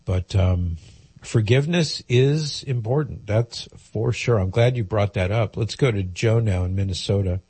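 Man, radio call-in host, slightly nasal from a cold, husky, low-pitched, dry studio sound. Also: unhurried, mellow, no room sound. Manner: conversational, explains at length with fillers. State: head cold, a little nasal.

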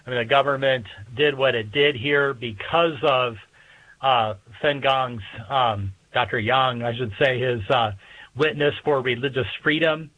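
Measured male academic guest, dull sound. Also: mid-range voice, steady tone. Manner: hesitant, measured, pausing between phrases. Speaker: guest expert, political science professor. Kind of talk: interview answers, explanatory. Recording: dull lo-fi remote radio connection.

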